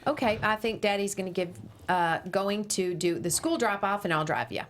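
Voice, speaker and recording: A woman reading the part of an exasperated, sharp-tongued mother at a table read. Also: medium pitch, moderate pace, slight background noise, lightly acted. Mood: light. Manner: incredulous, scolding. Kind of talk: table read of a comedy script.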